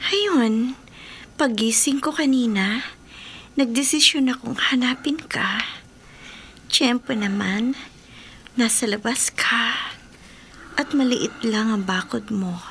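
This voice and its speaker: A mysterious, lonely admirer in a horror radio drama. Her high voice moves very slowly, hushed and softly seductive, over some background noise. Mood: soft, alluring. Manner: slow, hushed, breathy whispering delivery.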